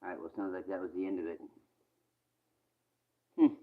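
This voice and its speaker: A man's voice: sits low, little pitch movement, moderate pace. A man hosting casually and off the cuff, muttering to himself in a distracted way. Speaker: channel host replaying old radio shows. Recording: thin phone-like sound.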